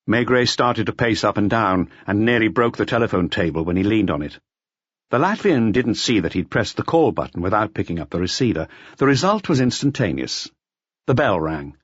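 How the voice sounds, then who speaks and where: A man's voice: fairly low pitch, animated, normal speed, breathy edge, no room sound, highs cut off. A man reading a mystery audiobook as a scripted narration. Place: close, dry recording.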